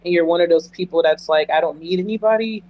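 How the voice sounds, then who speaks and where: A man's voice: on the high side, quick pace, muffled recording. A man speaking candidly and emphatically as a guest in a conversational interview, repeating phrases for emphasis. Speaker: interview guest, emergency dispatcher. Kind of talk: earnest conversational interview answers.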